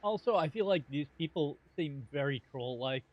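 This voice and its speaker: A man giving casual let's play commentary, wry and halting as he thinks aloud. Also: a little high, expressive, muffled recording. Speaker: gaming video creator.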